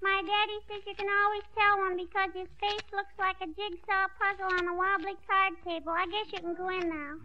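A woman's voice: very high-pitched, level tone, brisk, few pauses. A woman playing a chatty, cheeky little girl in a 1940s radio comedy, talking in a childlike way with repeated pet phrases. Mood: chatty, earnest. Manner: childlike, repeats "I bet you". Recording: dull old radio recording.

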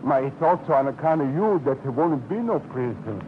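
A man's voice: medium pitch, brisk, lightly acted. An actor playing a grateful old Italian immigrant father who speaks broken English with an Italian accent, in a 1950s radio drama. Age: older. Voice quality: broken English, excitable then soft. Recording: muffled, hissy old radio recording.

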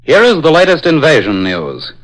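A man's voice: on the high side, wildly swooping pitch, moderate pace, poor audio. An American man reading a wartime news bulletin in formal, clipped 1940s radio style.

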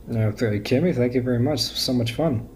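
Male podcast guest, medium pitch, informal conversational delivery. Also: normal speed, darkish tone. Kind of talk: casual fan podcast chat.

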